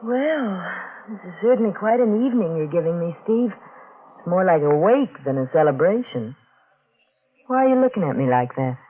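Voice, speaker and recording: A woman's voice: lowish voice, expressive, moderate pace, a little hiss or hum, natural voice. A woman playing a hard, scheming unfaithful wife in an old-time radio mystery, with a cool, needling, sardonic delivery. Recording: muffled old radio recording.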